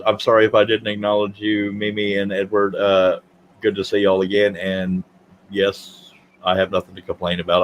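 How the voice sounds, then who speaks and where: Man, 50s, low-pitched, self-deprecating livestream host; faint background hum. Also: poor audio. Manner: joking, self-deprecating, rambling. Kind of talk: casual chat.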